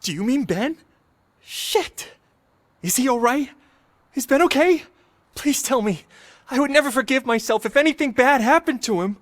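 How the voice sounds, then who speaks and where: A man's voice: extremely high pitch, lively intonation, normal speed, long pauses, fairly bright tone. A man playing a scared, loyal teenage petty thief in a video game, anxious and pleading. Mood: panicked, pleading.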